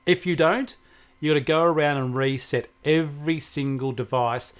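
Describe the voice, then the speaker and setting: Higher-voiced man talking tech. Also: moderate pace. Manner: explanatory, anecdotal. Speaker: technology expert co-host. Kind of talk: conversational tech discussion.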